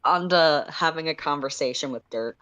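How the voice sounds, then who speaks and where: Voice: medium pitch, normal speed, bright, forceful tone, clean tone, dry recording. A casual, self-deprecating co-host who chats and reads fan fiction aloud on a livestream. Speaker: livestream co-host and story reader.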